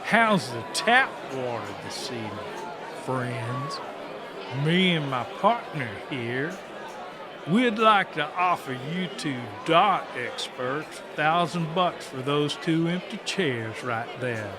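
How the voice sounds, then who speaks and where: An actor voicing a cocky, crude, folksy cowboy-talking bar hustler with a drawling delivery in an audiobook drama. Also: male voice, on the high side, animated, slow pace. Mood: cocky, taunting. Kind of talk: dramatised audiobook character acting. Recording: music and crowd noise behind.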